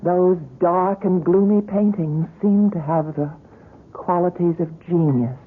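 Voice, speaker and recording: A woman's voice: lowish voice, unhurried. Encouraging gallery woman, loyal friend, vintage radio drama, tinny limited-band sound. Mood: reflective narrating. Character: devoted, encouraging woman gallery worker recounting her painter friend's story. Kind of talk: old radio drama acting and narration. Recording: thin band-limited old radio broadcast.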